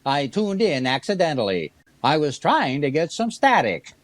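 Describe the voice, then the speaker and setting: A man playing a wisecracking radio comedian with a deadpan, sardonic delivery in 1940s style. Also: on the high side, normal speed, no echo. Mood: dry, teasing. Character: Fred Allen, dry wisecracking male radio comedian.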